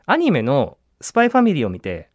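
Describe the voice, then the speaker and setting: A man's voice: a little high, wildly swooping pitch, fast, no room sound. A man co-hosting in a polite, attentive, conversational way. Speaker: co-host.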